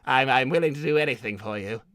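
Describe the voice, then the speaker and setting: A man's voice: mid-range voice, fast talker, sharp tone, no room sound, pitched below the performer's own voice, lightly acted. A man voicing a meek, servile nephew of a cartoon villain, stammering and hesitant as he talks. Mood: eager, fawning. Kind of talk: improvised character livestream Q&A.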